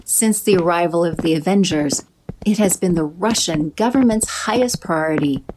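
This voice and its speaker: A woman narrating an audio drama in a steady, descriptive way. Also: on the low side, moderate pace, background noise. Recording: music bed under narration.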